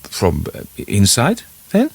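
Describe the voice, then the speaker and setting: A man's voice: fairly low pitch, huge pitch swings, takes it slowly, vocal fry, husky, dry recording, heavily acted. A man voicing a curious, brave male visitor in a ghost story, polite and earnest with some hesitancy. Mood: thoughtful.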